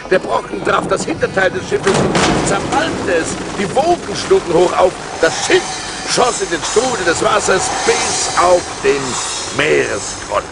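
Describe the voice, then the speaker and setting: An actor playing a seafarer who recounts his perilous adventures in a theatrical radio play style, moving between hushed and urgent. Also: high-pitched, very animated, measured pace, gravelly, hardly any pauses, very noisy, exaggerated voice. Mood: urgent, fearful. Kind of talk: dramatic radio play narration. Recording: vinyl record transfer with music behind.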